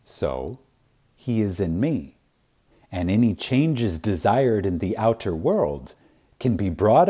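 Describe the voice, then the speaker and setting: Man, sermon-like dramatic reading, mellow tone, unhurried, reverent, emphatic, deliberate pauses. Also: lowish voice. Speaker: reader of a spiritual lecture.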